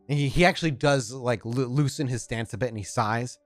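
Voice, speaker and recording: A man's voice: mid-range voice, moderate pace, faint music behind. A man running a tabletop roleplay as game master, describing scenes in a loose, conversational storytelling way.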